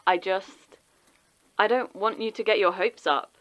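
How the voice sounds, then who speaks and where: A woman acting a caring but wounded friend, a schoolteacher, in an audio drama, gently persuasive and wry. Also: medium pitch, fairly even intonation, unhurried, muffled recording, close to an everyday voice. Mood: hurt.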